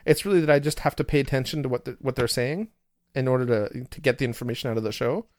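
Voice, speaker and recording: A man's voice: medium pitch, quick pace, dry recording, studio quality. A man co-hosting a hobby podcast, chatting casually with frequent restarts. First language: not English. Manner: relaxed, rambling, with frequent restarts. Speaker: podcast co-host. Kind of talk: casual chat.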